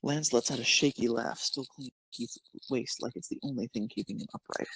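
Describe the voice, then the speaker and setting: A man's voice: mid-range voice, fairly quick, creaky, a bit bright, slightly rough, dry recording, rough recording. A man narrating a piece of fan fiction, reading it aloud.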